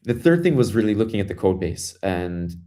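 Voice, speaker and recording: Brooklyn-accented man, low register, guest founder, relaxed storyteller who restarts his sentences. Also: fairly quick. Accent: Brooklyn New York. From Brooklyn, New York, US. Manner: loose storytelling with many fillers and restarts. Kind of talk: casual interview conversation.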